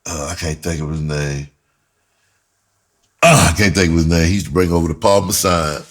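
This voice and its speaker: A man telling a personal story in a casual, animated way, with slangy, profane talk and phrases repeated for emphasis. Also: low voice, normal speed. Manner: breaks into laughter often while talking. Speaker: screenwriter and performer telling his own story.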